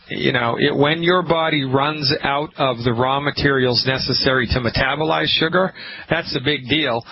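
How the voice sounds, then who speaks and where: A man with a Boston accent hosting a call-in health radio show, talking in a folksy, emphatic way and repeating his points. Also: medium pitch. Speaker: radio show host, naturopath. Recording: dull lo-fi radio broadcast with faint hiss.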